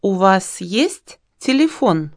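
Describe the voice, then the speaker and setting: Luda, a friendly young student in a course dialogue. She speaks at a very slow, clear pace in a mid-range voice. The sound is dry and close. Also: medium pitch, no room sound. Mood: plain. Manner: clearly enunciated, even. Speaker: dialogue reader in a language course.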